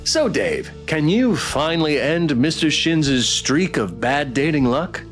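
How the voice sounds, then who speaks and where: A man as a showy, lively dating-show host. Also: on the high side, slow pace, faint music behind. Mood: playful teasing. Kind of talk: visual novel character read-aloud.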